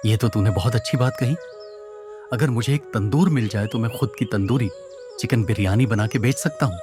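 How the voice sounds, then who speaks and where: A man's voice: medium pitch, a little fast, over music, lightly acted. A man playing a poor, earnest young cook and husband in a cartoon story, with a pleading manner. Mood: relieved. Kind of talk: Hindi cartoon moral story voice acting.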